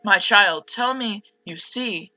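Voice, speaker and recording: A woman's voice: medium pitch, takes it slowly, pushed and bright, faint music behind. A woman voicing a cynical, domineering mother from a visual novel, speaking in a stern, insistent, dramatic way. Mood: accusing. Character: cynical, accusing, domineering mother goading her child. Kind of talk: reading visual novel dialogue aloud.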